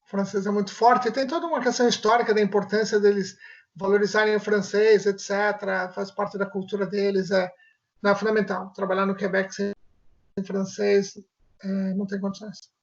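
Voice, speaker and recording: A Brazilian man, a family physician, answering interview questions in a measured way and often restarting his phrases as he looks for words. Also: sits high, little pitch movement. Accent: Brazilian Portuguese. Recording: muffled lo-fi audio.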